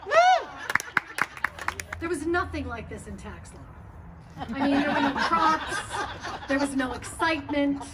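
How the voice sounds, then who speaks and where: High, distant actress as a brazen woman. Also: very animated. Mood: playful, excited. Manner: chatty, teasing, pausing for effect. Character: brazen, confiding former tax lawyer who loves moaning. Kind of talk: comic stage monologue. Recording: distant mic, noisy live venue.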